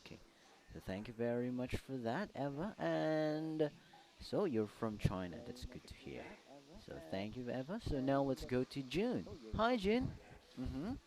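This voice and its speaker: A man's voice: medium pitch, big pitch swings, measured pace, a crowd in the background. A man teaching an English class online, patiently modelling simple answers for his students to repeat. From Philippines.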